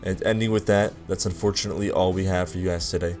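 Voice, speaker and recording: A man's voice: low voice, fairly even intonation, fast, darkish tone. A man narrating a scripted video devlog, explaining things directly to his viewers. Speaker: video creator, game developer. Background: music.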